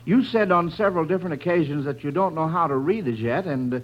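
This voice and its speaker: A man's voice: a little high, lively intonation, quick pace. An American man hosting a 1940s radio quiz in a folksy, chatty way, addressing children and listeners as friends. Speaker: quiz show host. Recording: muffled vintage radio broadcast.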